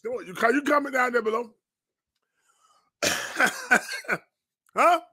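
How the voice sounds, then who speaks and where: A man's voice: very high voice, slow pace, pushed and bright, husky, no echo, clean studio sound. A man, a pastor hosting a live commentary, indignant and emphatic, repeating phrases and firing rhetorical questions like a preacher. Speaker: pastor and online show host. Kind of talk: fiery live-stream commentary, preacher's cadence.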